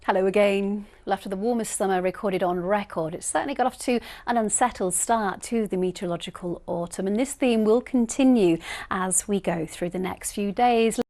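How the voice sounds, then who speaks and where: Female weather presenter, mid-range, steady and even. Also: mid-range voice, fairly quick. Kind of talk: broadcast weather forecast.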